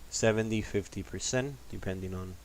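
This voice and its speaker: A man with a deep voice hosting a live trading stream, talking in a calm, laid-back, casual way. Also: normal speed, a little hiss or hum, low-quality recording. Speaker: host of a live trading session. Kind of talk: casual livestream market commentary.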